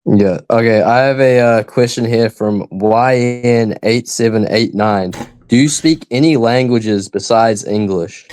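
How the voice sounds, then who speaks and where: A man's voice: mid-range voice, measured pace, darkish tone. A man chatting casually as a co-host and reading out questions. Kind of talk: casual chat.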